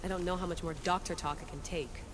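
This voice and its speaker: A woman's voice: lowish voice, fairly even intonation, fast, lightly acted. Blunt, headstrong young woman, voiced by an actress over background noise. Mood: determined. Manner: blunt, cocky. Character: headstrong, defiant young woman, modern assassin. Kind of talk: video game cutscene acting. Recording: clear background noise, game ambience.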